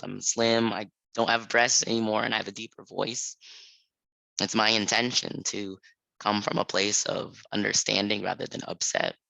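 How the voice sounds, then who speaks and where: A man on a panel, calmly reading a reflective personal story at a library event. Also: lowish voice, gravelly, dry recording, rough recording. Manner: calm, measured, reflective.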